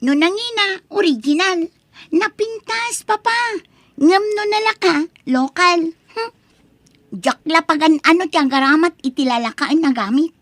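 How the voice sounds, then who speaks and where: A woman's voice: very high voice, animated, measured pace, a bit bright, no echo, exaggerated voice. A woman playing a spoiled, haughty college-age daughter in an audio drama, whiny, scornful and demanding. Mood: whiny, entitled. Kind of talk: Ilocano audio drama acting.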